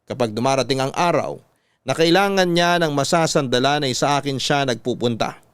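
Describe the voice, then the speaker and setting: A man with a Filipino accent reading a scripted first-person story in a measured, dramatic storytelling manner. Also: mid-range voice, smooth, no echo. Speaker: radio drama narrator.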